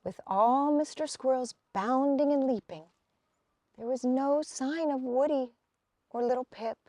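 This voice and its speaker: A woman's voice: sits high, a little slow, mellow, dry recording. A woman narrating a children's picture book expressively, as a storybook reader.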